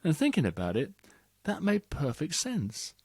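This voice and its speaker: A man's voice: on the high side, wildly swooping pitch, normal speed, a little mellow, slightly rough, no room sound. A man reciting a playful, rhythmic poem aloud, with swooping emphasis on the punchlines. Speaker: reader of a competition entry. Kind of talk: poetry recital.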